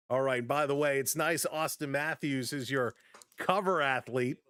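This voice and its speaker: A man hosting a casual live-stream baseball play-by-play, chatty and upbeat as he greets viewers, his voice slightly hoarse from overuse. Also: medium pitch, takes it slowly, a bit bright, dry recording. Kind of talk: casual play-by-play with chat reactions.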